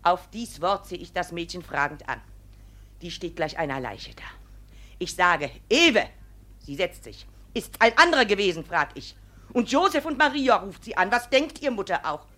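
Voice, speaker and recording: Sharp, indignant village mother. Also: medium pitch, very animated, a little slow. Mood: dramatic recounting. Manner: emphatic, accusing. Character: indignant, forceful village mother, combative plaintiff. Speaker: actor as plaintiff. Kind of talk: classic German radio play, dramatic testimony.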